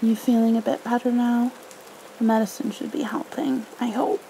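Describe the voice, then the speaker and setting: A woman softly reading a storybook and talking tenderly in a soothing, motherly ASMR roleplay. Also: fairly high pitch, fairly even intonation, normal speed, creaky, poor audio. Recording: faint background noise, rain ambience.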